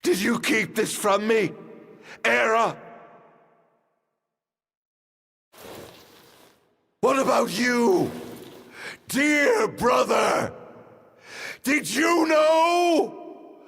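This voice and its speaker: A man's voice: very high-pitched, lively intonation, extremely slow, vocal fry, long pauses, fairly bright tone, breathy edge, raised voice, heavily acted. An actor playing a bitter, vengeful man doomed by the gods in a video game, with formal, theatrical diction. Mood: enraged, pleading. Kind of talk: dramatic video game acting.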